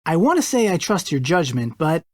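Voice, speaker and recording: An actress playing a polite, cautious young friend in a video game, earnest and a little hesitant. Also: female voice, sits low, sing-song, normal speed, a little mellow, no room sound, studio quality.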